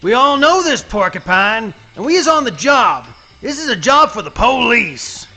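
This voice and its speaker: A man playing a blustering, boastful alligator in a live comic radio play, with a gravelly voice and folksy Southern swamp dialect. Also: extremely high pitch, very animated, normal speed, sharp tone, soft background music, full character voice. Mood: blustery. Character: blustering, boastful adult male cartoon alligator playing sheriff.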